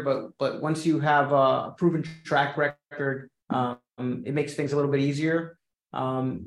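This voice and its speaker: A man's voice: on the high side, roomy sound. A man from Venezuela, a company head talking as a podcast guest, hesitant, with many ums and restarts. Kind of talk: podcast interview.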